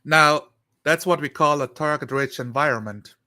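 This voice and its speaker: A man chatting casually on a live stream, rambling and thinking aloud in a halting way. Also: fairly high pitch, sharp tone.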